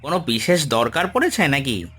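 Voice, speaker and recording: A man voicing a humble, kindly village farmer in an animated moral story, respectful and earnest. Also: medium pitch, normal speed, slightly rough. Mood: friendly, reassuring.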